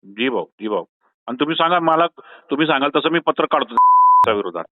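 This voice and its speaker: A man's voice: medium pitch, lively intonation, fast, no echo. A man in a private phone conversation, agitated and insistent yet deferential to his listener. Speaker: party worker. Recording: tinny phone call recording, dry.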